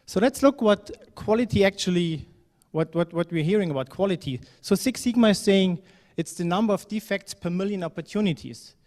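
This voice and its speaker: A man from Germany gives a talk at a conference, his voice a touch higher than most men's. He speaks in a relaxed, conversational way, full of ums and restarts. His voice rings with the long reverb of a large hall. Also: brisk. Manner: conversational, fillers, occasional non-native phrasing.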